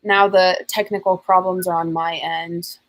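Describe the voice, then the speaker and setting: A woman's voice: fairly low pitch, flat delivery, a little slow. A young woman from the United States hosting a casual chat over a video call, talking loosely with lots of filler words. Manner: chatty, many "like" fillers. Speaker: host.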